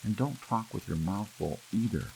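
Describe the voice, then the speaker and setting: A man's voice: lowish voice, expressive, normal speed, vocal fry, mellow, slight background noise, pitched above the performer's own voice, low-quality recording. A man voicing a loving, protective wife in a storybook, tender but insistent. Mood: firm.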